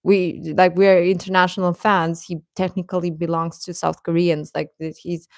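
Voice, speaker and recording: A woman hosting a livestream, giving casual fan commentary to her chat in a rambling, halting, emphatic way that repeats points. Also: fairly low pitch, level tone, darkish tone, no echo, clean studio sound. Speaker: livestream host.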